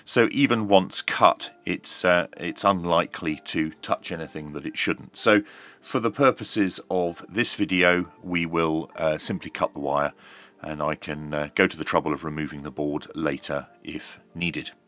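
A middle-aged man giving a measured, explanatory hands-on demonstration, like a reviewer talking through each step. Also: a bass voice, a bit bright. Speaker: radio reviewer.

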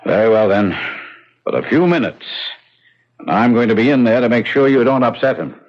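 An actor playing a kindly, cautious hospital doctor in a radio drama, measured and hesitant but protective. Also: male voice, medium pitch, animated, natural voice. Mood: cautious, firm. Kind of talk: 1970s radio drama acting. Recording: muffled old radio broadcast.

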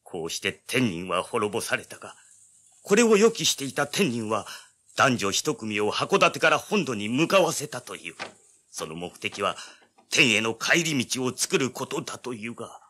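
An actor playing an old village man in an audio drama, recounting dreadful events gravely in old-fashioned speech. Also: mid-range voice, big pitch swings, normal speed, sharp tone. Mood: ominous.

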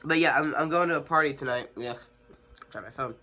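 A man's voice: a little high, fairly quick, bright, forceful tone. A young man giving a rambling, hesitant vlog update while sick with a cold, his voice slightly nasal. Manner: rambling, hesitant, many ums and restarts. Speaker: vlogger.

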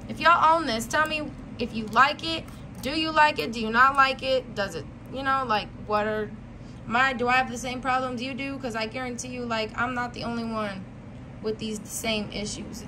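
American woman, somewhat high voice, worn-out, casual vlog chat, emphatic repetition, slangy and blunt, noticeably noisy recording. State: voice worn out, losing it. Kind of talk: casual chat to viewers, product review.

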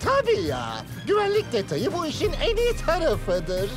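Cartoon villain: small, scheming, theatrical crook, high and wildly animated. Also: male voice, normal speed, music in the background, full character voice. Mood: excitable gloating. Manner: theatrical, gloating, swinging into frantic outbursts. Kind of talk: cartoon dub acting.

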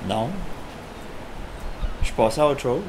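A man's voice: medium pitch, unhurried, close to an everyday voice. A man playing a curt, indifferent ex-boyfriend in film dialogue. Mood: detached. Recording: loud background noise.

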